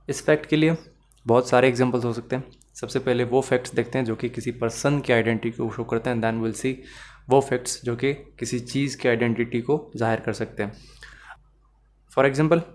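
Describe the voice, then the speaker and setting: A Pakistani man delivers an explanatory lesson in a medium-pitched voice. He sounds like a lecturer, laying out points and examples didactically. He often switches into English phrases as he goes. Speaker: law lecturer.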